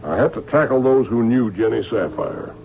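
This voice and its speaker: An actor playing a brisk, probing police inspector with a gravelly voice in an old-time radio drama. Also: male voice, medium pitch, animated, slight background noise, natural voice. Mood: matter-of-fact. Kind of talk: old-time radio drama acting with first-person narration. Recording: dull old radio recording.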